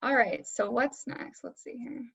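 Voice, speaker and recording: A woman's voice: a little high, lively intonation, unhurried, creaky, a bit bright, husky. A woman moderating an informal panel discussion and giving practical tips.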